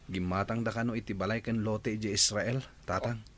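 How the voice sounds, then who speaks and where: Low-voiced actor as concerned village man. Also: fairly even intonation, a little fast, a little hiss or hum, natural voice. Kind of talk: Ilocano radio drama acting.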